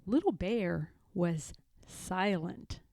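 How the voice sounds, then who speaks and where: A woman narrating a children's story aloud in an expressive, gentle and deliberate way. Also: fairly low pitch, creaky, dry recording, studio quality. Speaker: storybook reader.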